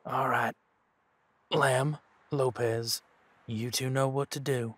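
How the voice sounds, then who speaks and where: A man playing a steady, protective werewolf pack leader in an audio roleplay drama, calm and deliberate. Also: medium pitch. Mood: resolute.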